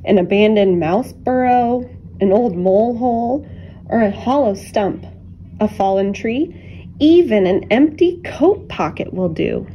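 A woman reading a children's picture book aloud with deliberate, expressive phrasing and dramatic pauses. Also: mid-range voice, muffled recording, a little hiss or hum. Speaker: children's storybook reader.

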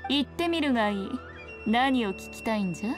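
A woman's voice: on the high side, animated, a little fast, music in the background, close to an everyday voice. A woman voicing a sly, haughty, ageless fox priestess in an anime game, speaking in an archaic, imperious manner. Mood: teasing. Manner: archaic, imperious, teasing phrasing.

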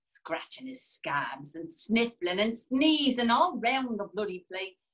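Actress for a mocking Irish pub regular, slow, earthy drawl. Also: female voice, a little high, big pitch swings, a bit bright, heavily acted. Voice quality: sardonic, earthy. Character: sardonic Dublin pub regular, male narrator. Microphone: video call webcam.

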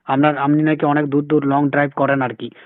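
A man making a casual prank phone call, persistent and deadpan. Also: fairly high pitch, fairly quick, no echo. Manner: deadpan, persistent, evasive with absurd questions. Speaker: prank caller, comedy show host.

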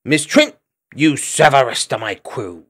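A man's voice: mid-range voice, lively intonation, measured pace, a bit bright. A man voicing a small male squirrel child who gives bossy orders in broken, childish grammar.

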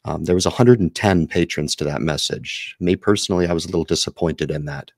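A man hosting an investing panel over a video call, closing with measured, earnest remarks on a headset mic. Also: low voice, vocal fry, no echo, studio quality. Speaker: host. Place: home office, video call.

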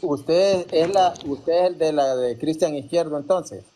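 A man's voice: fairly high pitch, a little slow, dark tone, slight background noise. Direct questioning host, man. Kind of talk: moderating a debate.

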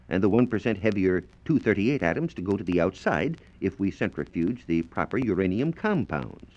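Low-voiced American 1940s radio science presenter, man. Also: darkish tone. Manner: formal, carefully phrased, explanatory. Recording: dull lo-fi 1940s radio transcription disc.

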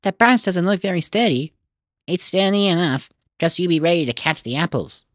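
A cheeky young lad talks urgently in a children's tale, as acted by a man. The voice is pitched up and lively. The recording carries clear noise. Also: moderate pace. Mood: eager, wary. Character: cheeky young church boy. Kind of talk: children's storybook character voice.

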